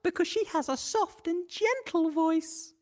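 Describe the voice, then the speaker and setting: A woman's voice: very high-pitched, measured pace, darkish tone, no room sound, clean studio sound. A woman voicing a clever, defiant young goat kid in a children's story, childlike and firm. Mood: wary. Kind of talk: children's storybook character acting.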